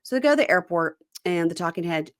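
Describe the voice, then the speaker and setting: A woman with a lowish voice talks through a reality show episode on a podcast, animated and gossipy, slipping into mocking impressions of the people on screen. The sound is dry and close. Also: fast talker, dry recording. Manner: animated, acts out cast members' lines in mocking voices. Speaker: podcast host. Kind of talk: casual reality TV recap chat.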